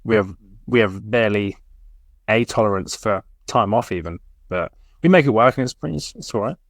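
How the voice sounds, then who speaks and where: A man's voice: fairly low pitch, normal speed, no echo, clean studio sound. A man co-hosting a podcast with a stuffy, bunged-up voice, chatting in an easygoing way. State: congested, stuffy-nosed. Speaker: podcast co-host. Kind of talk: casual chat.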